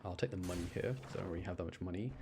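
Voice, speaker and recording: Low-voiced man streaming a game. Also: very fast, background noise. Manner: chatty, explaining gameplay, repeating phrases. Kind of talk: casual live gaming commentary. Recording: game music behind the voice. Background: video game music.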